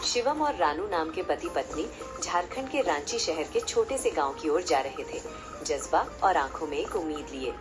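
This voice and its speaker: A woman's voice: low voice, animated, normal speed. A woman narrating a story in a scripted storybook style. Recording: music behind the voice. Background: loud background music.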